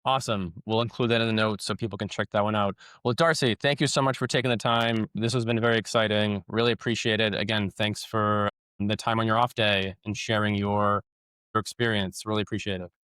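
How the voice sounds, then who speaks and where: A man's voice: fairly low pitch, steady tone, brisk, fairly bright tone, clear, resonant tone, no room sound. A man hosting a podcast, asking casual interview questions with lots of fillers and stumbling restarts.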